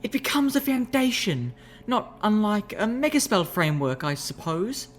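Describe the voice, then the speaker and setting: A woman's voice: medium pitch, big pitch swings, normal speed, slight background noise, pitched above the performer's own voice, lightly acted. A woman voicing a graceful, refined unicorn mare who speaks in a prim, ladylike way. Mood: confiding. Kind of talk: audiobook reading of fan fiction.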